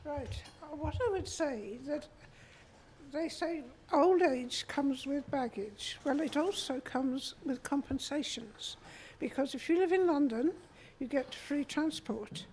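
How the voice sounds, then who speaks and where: A soft-spoken woman in her seventies speaks from the audience in a reassuring way. Her voice is high, and it comes through slightly off mic over faint room noise. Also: animated, slow, rough recording. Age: 70s. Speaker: audience member. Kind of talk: question-and-answer comment.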